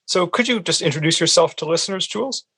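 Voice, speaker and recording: A man's voice: on the high side, fast, creaky, pushed and bright, breathy edge. A man with a Canadian accent, hosting and interviewing on a podcast. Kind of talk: scripted podcast introduction and interview.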